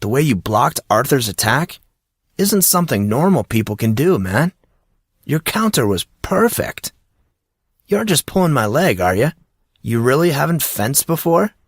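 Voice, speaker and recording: A man's voice: mid-range voice, very animated, moderate pace, breathy edge, dry recording, studio quality, pitched above the performer's own voice. A man voicing a laid-back, enthusiastic teenage boy who talks in casual slang in an audiobook. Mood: casually impressed. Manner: slangy, eager, casual bro-talk. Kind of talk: audiobook character dialogue.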